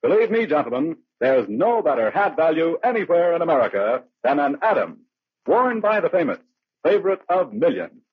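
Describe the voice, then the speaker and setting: A man's voice: on the high side, slightly rough, dry recording. A man announcing for a sponsor in the style of a 1940s radio advertisement. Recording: dull, dry old radio recording.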